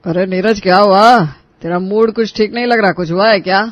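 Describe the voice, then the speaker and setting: Man acting high-voiced put-upon elder brother. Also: steady tone, normal speed, a bit bright, no echo, highs cut off. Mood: concerned. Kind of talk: dramatic Hindi cartoon dialogue.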